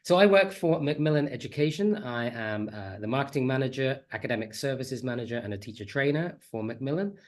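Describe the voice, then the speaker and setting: A man's voice: medium pitch, animated, brisk, few pauses, darkish tone. Slightly nasal man presenting online. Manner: friendly, chatty, self-deprecating. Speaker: conference speaker, teacher trainer. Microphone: computer mic on a video call.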